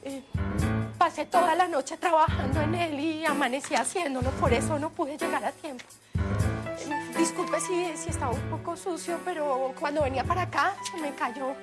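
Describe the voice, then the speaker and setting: A woman's voice: high voice, moderate pace, natural voice. An actress playing a meek, apologetic woman employee in a telenovela, speaking hesitantly and deferentially. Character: meek, apologetic dismissed female employee, humble and dutiful. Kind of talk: telenovela drama acting. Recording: TV drama clip with loud music underneath.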